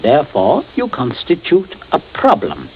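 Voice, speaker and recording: A calculating gentleman murderer in a vintage radio drama. His speech is formal and commanding, with widely varied intonation that keeps the menace cool. The old recording is dull and clearly a little noisy. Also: a little high, wildly swooping pitch. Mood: coolly matter-of-fact. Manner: formal, measured, coolly commanding. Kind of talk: 1940s radio mystery acting.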